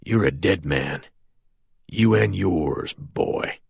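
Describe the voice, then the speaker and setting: Audiobook: man voicing an old crop-duster villain, raspy whisper, pitched well down, quiet and dry. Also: slow, no room sound, full character voice. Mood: low menace. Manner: calm, low and threatening. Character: defiant, menacing older male crop duster.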